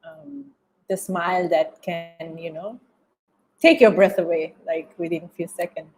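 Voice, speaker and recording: A woman with a Bangladeshi accent speaks slowly in a slightly low voice. She answers interview questions reflectively, pausing to search for words and often repeating a phrase before she moves on. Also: animated, a little hiss or hum, rough recording. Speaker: interview guest, documentary filmmaker.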